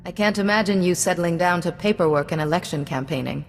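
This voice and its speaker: An actress playing a calm, authoritative female Jedi diplomat in a video game, speaking in a measured, formal way. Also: on the low side, slight background noise, lightly acted. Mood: firm. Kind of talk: video game dialogue acting. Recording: long hall reverb, music behind.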